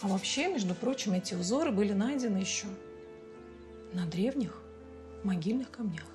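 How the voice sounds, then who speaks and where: An actress playing a patient, playful mother in her forties with a Russian accent, gently explaining things to her child in a TV sitcom. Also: medium pitch, lively intonation, normal speed, over music, close to an everyday voice. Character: bilingual mother, patient, fond of teaching. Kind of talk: television sitcom acting.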